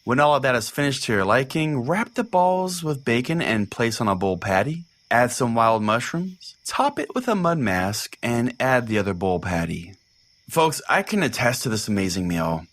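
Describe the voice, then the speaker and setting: A man's voice: medium pitch, very animated, moderate pace, a bit bright. A man playing a crude, oblivious small-town pastor who hosts a radio call-in show, folksy and theatrically earnest. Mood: chatty, oblivious. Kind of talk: comedic mock-radio sermon and host banter.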